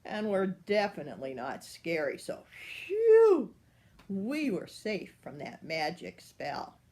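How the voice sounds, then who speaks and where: An older woman, a grandmother, reading a picture book aloud to children in a playful, animated way. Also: mid-range voice, slow. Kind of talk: storybook read-aloud.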